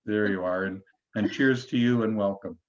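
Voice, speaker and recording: Lower-voiced man hosting, dull audio. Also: moderate pace. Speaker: host. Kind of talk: informal webinar hosting. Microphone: video call. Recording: dull lo-fi video-call sound.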